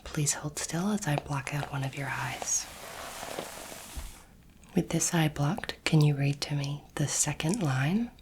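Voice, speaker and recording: A woman whispering breathily in an ASMR roleplay as a courteous, curious alien doctor, gentle, reassuring and measured. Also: low voice. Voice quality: breathy, mostly unvoiced whisper. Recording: noisy close recording, clicking sounds.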